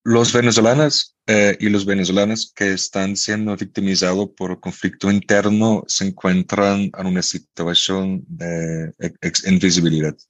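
A man from the Netherlands whose first language is Dutch, answering interview questions as a researcher and journalist, measured, with frequent hesitations and restarts. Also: deep voice, little pitch movement, no room sound, highs cut off.

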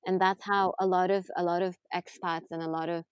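A woman from Saudi Arabia in a casual podcast conversation, reflective and soft-spoken, often drifting quieter. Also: fairly low pitch, flat delivery, fairly quick, clean tone, dry recording, clean studio sound. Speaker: podcast guest.

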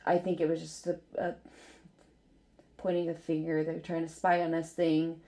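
A woman's voice: low-pitched, completely flat delivery, moderate pace, warm tone. A woman co-hosting a casual podcast chat, amused and easygoing. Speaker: podcast co-host.

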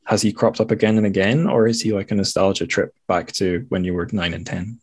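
A man's voice: lowish voice, little pitch movement, a little fast, slightly rough, dry recording, clean studio sound. A man hosting a podcast, chatting casually in a rambling, self-deprecating interview manner. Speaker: podcast host.

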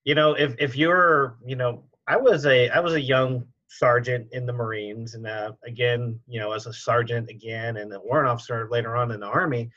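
A man's voice: medium pitch, fairly even intonation, normal speed, few pauses, sharp tone. A man hosts a podcast in a loose, easygoing way, full of "you know" and restarts. His voice sits in a mid range, and the recording sounds a little dull and muffled. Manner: easygoing, many fillers and restarts. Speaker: podcast host, military veteran. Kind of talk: casual interview chat.

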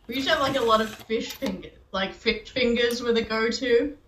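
A woman's voice: fairly high pitch, moderate pace, fairly bright tone, slight background noise. Laid-back self-deprecating woman, echoey room. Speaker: podcast co-host. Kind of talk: casual chat. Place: at home.

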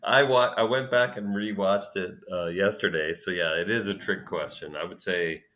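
A man's voice: low voice, normal speed, a bit bright. A man guest on a podcast, chatting casually, hesitating and repeating words as he thinks. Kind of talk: casual chat.

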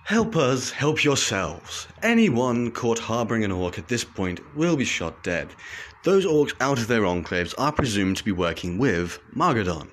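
An actor playing a stern state announcer who issues threats and slow, commanding slogans. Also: male voice, mid-range voice, very animated, fairly bright tone. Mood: menacing. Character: stern male state propaganda announcer, menacing.

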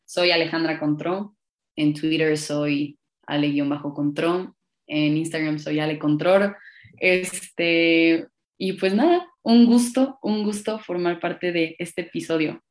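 Young woman from Monterrey, Mexico City accent, low-pitched voice, playful upbeat guest chatter. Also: steady tone, slow pace. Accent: Mexico City Spanish. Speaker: podcast guest. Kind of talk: casual chat.

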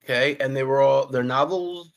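A man's voice: medium pitch, moderate pace, creaky. A man with a mid-range voice hosts a podcast interview, asking short, plain questions and letting his guest talk. His delivery is brief and matter-of-fact. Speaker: podcast host and history teacher.

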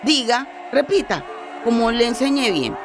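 A weary but determined Colombian mother speaks in a fairly high voice. She is firm and insistent, instructing in radio drama style over loud music. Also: expressive, a little slow.

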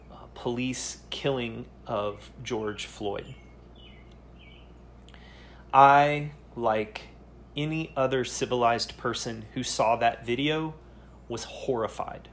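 A man hosting a solo podcast, speaking earnestly and haltingly, often pausing to search for words. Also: medium pitch, slight background noise. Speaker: podcast host.

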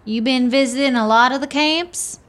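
A woman's voice: high voice, normal speed, a bit bright, slight background noise. A woman playing a friendly, talkative, plainspoken homeless woman in a radio play, with a rustic, folksy manner.

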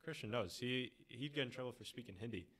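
A man's voice: mid-range voice, fast talker, fairly bright tone, breathy edge. A young man hosting a casual podcast, easygoing and teasing as he asks questions and trades stories. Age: young adult. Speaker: podcast host. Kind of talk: casual chat.

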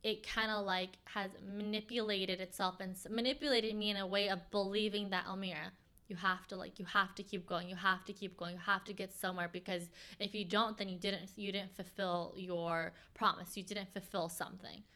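A young woman whose first language is Farsi, hosting a podcast and talking openly in a reflective, halting way with many fillers. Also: mid-range voice, flat delivery, sharp tone. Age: young adult. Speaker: podcast host. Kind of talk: casual heart-to-heart chat.

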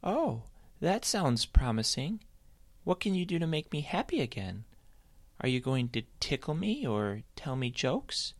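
A man voicing a sad, hesitant female bunny who speaks slowly and mopily. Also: mid-range voice, big pitch swings, no room sound, pitched above the performer's own voice. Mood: glum. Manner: slow, hesitant, mopey.

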